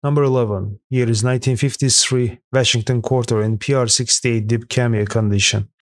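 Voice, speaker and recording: A man reading a scripted voice-over that counts down rare coins sold at auction, in a steady, even manner with an occasional slip. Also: medium pitch, fairly quick, no room sound. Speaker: narrator of a coin auction countdown. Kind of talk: scripted voice-over narration read aloud.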